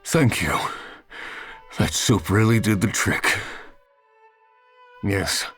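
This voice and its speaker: Weary, low-voiced male traveler. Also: drawn-out words, a bit bright, husky, faint music behind, full character voice. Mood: relieved, grateful. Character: weakened, grateful male traveler rescued from freezing. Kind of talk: video game character acting.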